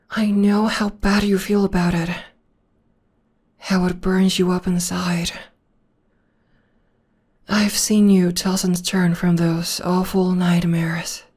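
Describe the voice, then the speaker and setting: A young woman plays a devoted witch girlfriend in a soothing ASMR roleplay, murmuring and whispering close to the listener. Her voice sits low and airy, and she speaks slowly. It stays even and calm, with little rise or fall. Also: breathy edge. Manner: soft, soothing, often whispering. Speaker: voice actor.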